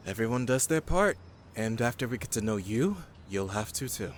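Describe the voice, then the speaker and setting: Male actor as earnest young man, lively pitch, exasperated. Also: normal speed, a little hiss or hum, lightly acted. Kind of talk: audio drama acting.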